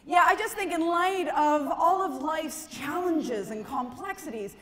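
High-voiced woman comedian on stage. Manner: animated, emphatic, conversational delivery with dramatic pauses. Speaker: comedian, keynote speaker. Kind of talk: stand-up comedy talk to an audience. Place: large conference ballroom stage. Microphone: handheld microphone. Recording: echoey hall PA, some noise.

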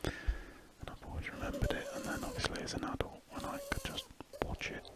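A British man whispering breathily in a rambling, hesitant ASMR style, with trailing pauses. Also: very high voice, monotone. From United Kingdom. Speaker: ASMR artist narrating while drawing. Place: indoors at a desk, drawing. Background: pen scratching on paper.

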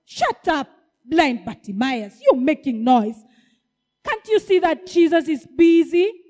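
A woman's voice: high-pitched, sing-song, slow pace, a little mellow, big-room sound, full character voice. A woman voicing an impatient crowd of bystanders, talking in a scolding, bossy way.

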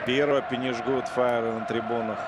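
A man doing play-by-play commentary on a football match, excitable and quick to raise his voice on chances. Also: medium pitch. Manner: excitable play-by-play, shouting on goals. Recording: crowd noise behind. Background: stadium crowd.

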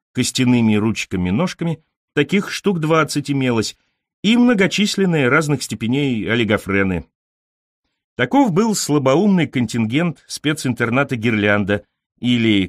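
Man narrating an audiobook, very lively intonation. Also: medium pitch, no room sound, clean studio sound. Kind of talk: scripted literary narration.

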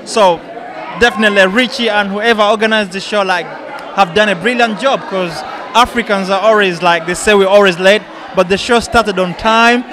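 A man's voice: sits high, fairly even intonation, normal speed. A young man speaking casually as an interviewed event guest, into a handheld microphone. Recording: loud event crowd behind.

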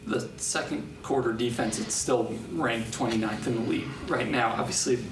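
Guy reporter asking questions from across an echoey room, noisy, medium pitch. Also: normal speed, far from the mic. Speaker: sports reporter. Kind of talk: spontaneous press conference questions. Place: indoor press conference room. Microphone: off-mic from across the room.

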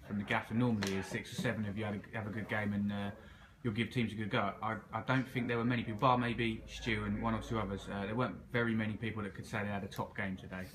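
A man's voice: lowish voice, level tone, fast, few pauses, a crowd in the background. A man hosting a casual post-match interview for club TV, hesitant and jokey, recorded by a camera in a small clubhouse room. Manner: jokey, hesitant with many ums.

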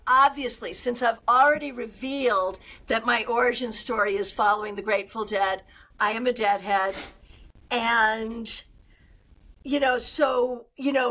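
An older woman with a loud, good speaking voice, chatting animatedly as a guest over a video call. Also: a little high. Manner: animated, gestures a lot while talking. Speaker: tarot reader guest. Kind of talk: casual interview chat. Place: home room on a video call.

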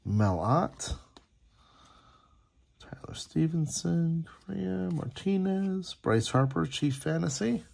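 A man with a gravelly, husky voice giving casual, chatty, appreciative running commentary as he hosts a card-opening video at a table indoors. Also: on the high side, animated, takes it slowly, a little mellow. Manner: chatty, appreciative, reading names aloud. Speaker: host opening sports card packs.

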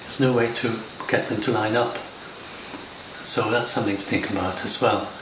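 A man's voice: lowish voice, steady tone, normal speed. An older man with an English accent, talking to camera at home in a hesitant, rambling way, full of fillers and restarts. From lives in Scotland. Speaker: software developer talking to camera. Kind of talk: unscripted talk to camera. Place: home room by large windows. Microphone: far camera. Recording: roomy, distant, noisy home recording.

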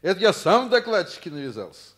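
An actor in a Soviet radio play playing a middle-aged, principled school principal and worried father, speaking in a deliberate, reflective manner. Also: high voice, sing-song, fairly bright tone, heavily acted. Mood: animated, keen. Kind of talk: Soviet radio drama acting, narrating.

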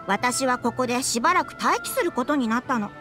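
A woman playing a composed publishing-house lady in a Japanese game, with a feminine, measured manner. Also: high voice, lively intonation, fairly bright tone, faint music behind. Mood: composed concern.

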